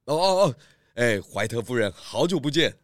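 A man playing a genial, authoritative pig detective in a children's audio drama, with animated, exclamatory delivery. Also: mid-range voice, moderate pace, no echo, studio quality, lightly acted. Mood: friendly. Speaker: lead detective character.

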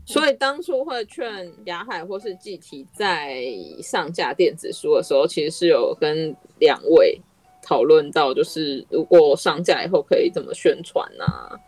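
A woman's voice: deep voice, a little mellow. A woman with a Taiwanese accent in a casual podcast conversation, explaining things in an encouraging, persuasive way.

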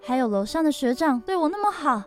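女生演的高音煩惱少女，誇張哀怨. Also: female voice, sits high, sing-song, fast talker, soft background music. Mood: fretful, exasperated. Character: anxious young woman fretting over friends and love. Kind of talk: dramatized podcast jingle monologue.

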